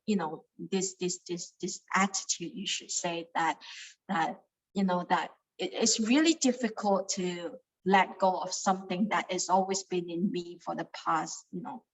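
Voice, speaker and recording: A woman's voice: mid-range voice, rough recording. A young woman from Malaysia with a Malaysian English accent, sharing a personal experience with a study group, hesitant, with many fillers and repeated words. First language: Cantonese.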